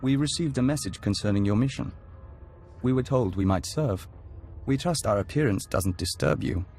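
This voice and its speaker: A man voicing a serene, courteous video game envoy joined to an alien hive mind, speaking formally and with measured care. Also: medium pitch, animated, a little mellow, slight background noise, natural voice.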